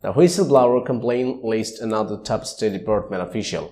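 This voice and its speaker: A man's voice: on the low side, a little fast, mellow. A man reading scripted news narration as a news reader.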